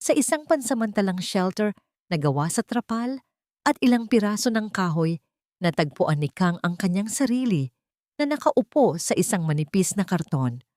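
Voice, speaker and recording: A woman's voice: medium pitch, sing-song, normal speed, darkish tone, no room sound, clean studio sound. A woman with a Filipino accent narrating a scripted drama in a solemn, theatrical manner. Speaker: story narrator.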